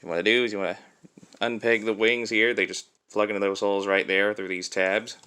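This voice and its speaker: A man's voice: on the low side, flat delivery, a little fast, sharp tone, clean tone. A man giving a casual hands-on demonstration as a toy reviewer, explaining things step by step.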